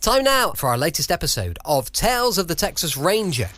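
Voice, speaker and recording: A man's voice: a little high, big pitch swings, brisk, a bit bright. An English man hosting a podcast with a chatty, effusive, emphatic introduction. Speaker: podcast host. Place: home studio, close dry sound.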